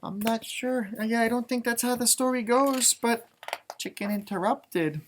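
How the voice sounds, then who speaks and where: A man hosting a children's storybook read-aloud, expressive and playful, adding chatty asides and wondering aloud. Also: extremely high pitch, normal speed, slight background noise. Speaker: storytime read-aloud host, music teacher.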